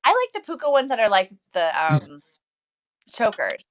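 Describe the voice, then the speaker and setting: A woman's voice: fairly high pitch, wildly swooping pitch, moderate pace. A middle-aged woman co-hosting a casual, unscripted livestream, chatty and jokey, often repeating her phrases. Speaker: co-host of a vintage seller livestream.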